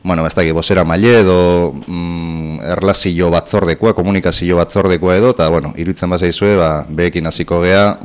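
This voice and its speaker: A man's voice: low-pitched, steady tone, brisk, hardly any pauses, clean tone. A man co-hosting a radio show, introducing and interviewing a guest by phone, hesitant and polite. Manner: hesitant, polite, searching for words.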